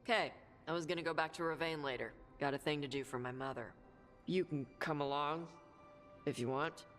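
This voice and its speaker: A woman playing a blunt, laconic young dragon hunter and treasure seeker in a video game, talking gruffly and informally. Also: low-pitched, brisk, a bit bright. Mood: casual. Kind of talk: video game dialogue acting. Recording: music under the dialogue. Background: game music.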